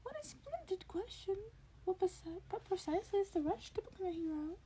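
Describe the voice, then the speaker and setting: A woman's voice: very high-pitched, lively intonation, fairly quick, mellow, voice pushed up. A woman voicing a small furry animal school principal in an audio story, confident and curious, with polite, formal, probing speech. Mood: giddy curiosity.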